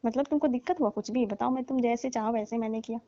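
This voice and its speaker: A wheedling, pleading middle-aged aunty, as an actress plays her on a phone call. Her voice sits fairly high but sounds mellow and dark. The line is muffled and dry, like a recorded phone call. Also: fast talker, lightly acted. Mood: coaxing. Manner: wheedling, keeps calling him "babu". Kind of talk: scripted comedy phone call.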